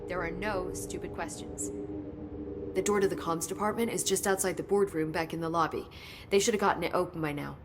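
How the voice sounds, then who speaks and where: A woman playing an earnest, helpful government agency staffer in video game dialogue, explaining things in a halting way. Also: on the low side, fairly even intonation, noisy background, lightly acted. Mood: reassuring.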